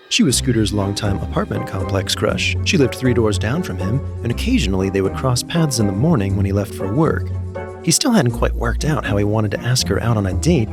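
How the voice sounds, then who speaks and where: Male narrator for a comedic short story, medium pitch, very lively intonation, music bed underneath. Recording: music playing behind the voice. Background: background music.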